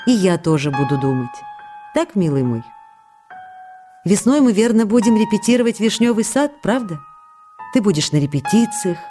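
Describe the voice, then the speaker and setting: Animated, tender actress as devoted letter-writing wife. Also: female voice, mid-range voice, natural voice. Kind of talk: dramatic reading of letters for radio.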